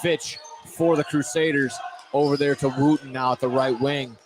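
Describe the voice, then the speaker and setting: A man's voice: medium pitch, steady tone, normal speed. A man doing live play-by-play basketball commentary for radio, repeating and correcting himself as he calls the action. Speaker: play-by-play sports announcer. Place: indoor basketball gym, courtside vantage point. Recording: clearly noisy gym ambience, bouncing balls. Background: gym noise and basketball bounces.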